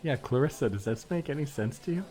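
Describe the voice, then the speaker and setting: A man's voice: medium pitch, animated, fast talker, warm tone, natural voice. A man playing a needy, over-eager young woman in improvised comedy, talking in a hesitant, rambling, awkward way. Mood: flabbergasted, grateful.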